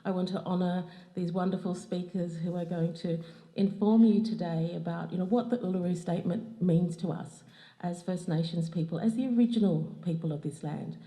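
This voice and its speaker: An Australian woman giving a formal acknowledgement of country, speaking in an earnest, measured way. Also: fairly low pitch, steady tone, mellow. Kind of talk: formal ceremonial address. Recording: echoey hall.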